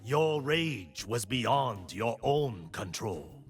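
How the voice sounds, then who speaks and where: A male actor playing a stern, disciplined martial arts monk warrior in a video game, speaking in solemn, measured pronouncements. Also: mid-range voice, very animated, soft background music. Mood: solemn, grave. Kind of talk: game voice lines, combat barks.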